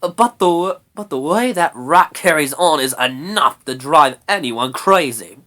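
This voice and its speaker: A woman voicing a sharp-tongued young furry brownie girl in a storybook, grumpy, brash and sarcastic. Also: low-pitched, expressive, a little slow, voice pushed up. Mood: irritated.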